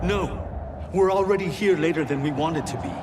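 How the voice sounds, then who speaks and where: A man's voice: on the high side, normal speed, background noise, lightly acted. An actor playing a stern, wary and noble warrior shaman in a video game cutscene, firm and earnest. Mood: skeptical, firm. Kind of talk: cinematic video game acting.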